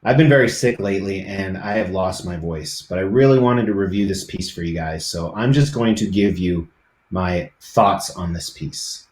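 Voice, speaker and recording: A man's voice: deep voice, moderate pace, darkish tone, roomy sound, a little hiss or hum. A middle-aged man live streaming, chatting casually and rambling to his viewers.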